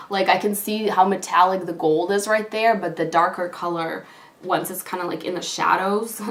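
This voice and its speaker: A woman talks casually to her viewers in a somewhat low voice, a little hoarse and crackly from a lingering cold. She gushes and rambles with plenty of "like" and "you know". The sound has a roomy echo around it. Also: fairly bright tone. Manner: chatty, gushing, many fillers like "like" and "you know". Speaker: beauty vlogger. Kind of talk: casual product review to camera.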